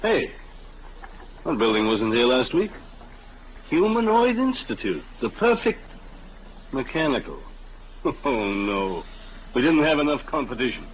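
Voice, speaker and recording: An actor in a 1950 radio drama playing a grumbling, excitable American husband and small businessman, blustery and colloquial. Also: medium pitch, measured pace, pauses often. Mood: skeptical, curious. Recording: tinny, noisy old radio recording.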